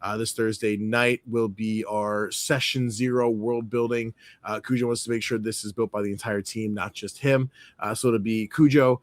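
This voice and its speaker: Man player, lowish voice, dry close mic, relaxed and filler-heavy; casual show sign-off. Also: normal speed, no echo. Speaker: actual play player.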